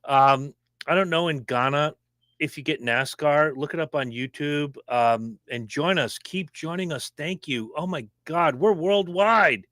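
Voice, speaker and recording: A man co-hosting a casual racing podcast, opinionated and animated, talking fast. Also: a little high, no room sound, studio quality. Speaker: podcast co-host. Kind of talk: casual sports chat.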